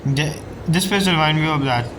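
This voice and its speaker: A man's voice: a little high, fairly quick, music in the background. A man casually reacting to a game as he plays, as a streamer. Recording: clearly noisy background.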